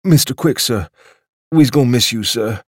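A man's voice: a little high, moderate pace, a little mellow, no echo, clean studio sound, voice pushed up, close to an everyday voice. A man voicing a sweet, doddering old male club waiter, mournful and respectful. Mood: tearful.

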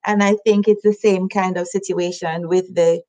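A woman's voice: on the low side, fairly even intonation, normal speed, mellow. A woman hosting an interview, talking in a reflective, conversational way and laughing lightly. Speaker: host.

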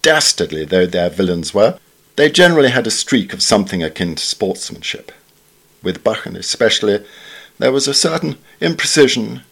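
Deep-voiced British man reading essays. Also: vocal fry. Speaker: reader of newspaper essays. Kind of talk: scripted literary essay reading.

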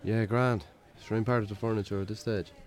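Actor as low-voiced, put-upon Irish farmer. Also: male voice, steady tone, fairly quick, lightly acted. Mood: friendly, modest. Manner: colloquial, dryly sarcastic. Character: Irish bachelor farmer, heavy drinker, defensive, put-upon. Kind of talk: naturalistic radio drama acting.

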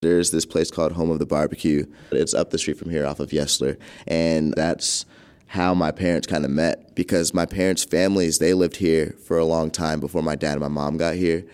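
A man's voice: rumbling low, flat delivery, normal speed, a little mellow, smooth. A nineteen-year-old man casually telling a family story, acting out his parents' voices as he goes. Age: young adult. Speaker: community interviewee.

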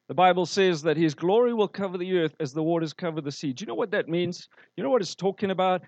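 Male pastor, slightly high voice, storytelling sermon, muffled, dry recording. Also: a little mellow, no room sound. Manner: storytelling preacher, asks the congregation questions.

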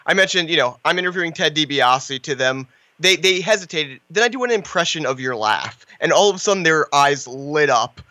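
A man's voice: on the high side, animated, fairly quick, hardly any pauses, pushed and bright, no echo. A man co-hosting a podcast, joking and stumbling over restarts. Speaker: podcast co-host. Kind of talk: casual podcast chat.

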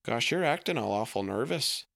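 A man's voice: mid-range voice, lively intonation, moderate pace, gravelly, a bit bright, dry recording, studio quality. A man voicing a folksy, overly sweet small-framed father who talks in homespun phrases. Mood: cheery folksy.

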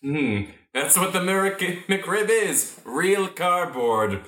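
Slow, indulgent otherworldly parent, played by a man. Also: high voice, lively intonation, a bit bright, heavily acted. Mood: indulgent, resigned. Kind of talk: spoof radio commercial. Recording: echoey room.

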